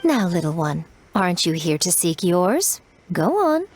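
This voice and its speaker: A woman's voice: lowish voice, big pitch swings, a little slow, faint music behind. A woman playing a teasing, self-important shrine head priestess in a video game, with a playful, sweetly condescending manner. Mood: teasing, sly.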